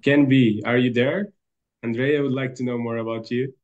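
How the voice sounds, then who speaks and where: A man's voice: medium pitch, fairly even intonation, normal speed, darkish tone. Man, meeting facilitator, casual chat, slightly muffled call audio.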